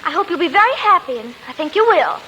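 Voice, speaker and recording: A woman's voice: very high-pitched, sing-song, quick pace, bright, forceful tone, heavily acted. A woman playing a sweet, polite teenage niece in a 1940s radio comedy, tentative and earnest. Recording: faintly noisy old 1940s radio recording.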